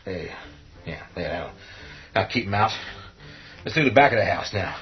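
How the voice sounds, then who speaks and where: Animated, haunted man under siege. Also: low-pitched, a crowd in the background. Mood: urgent, reassuring. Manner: urgent, halting, reassuring. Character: steady, shaken man barricading a farmhouse against the undead. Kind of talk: radio drama acting. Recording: dull recording with music underneath.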